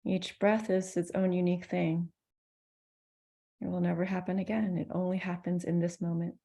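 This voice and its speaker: A woman leads a guided meditation in a soft-edged, mellow voice with a good deal of creak. Her pitch sits slightly low. She speaks gently and soothingly, leaving space between phrases. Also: flat delivery, moderate pace. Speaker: meditation teacher.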